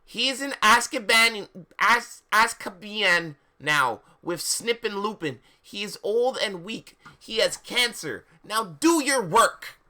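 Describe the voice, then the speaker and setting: A man's voice: high voice, animated, slow, bright, forceful tone, pitched above the performer's own voice, heavily acted. A man voicing a pompous, furious wizard official with exclamatory, over-the-top delivery in a story reading. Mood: angry, shouting.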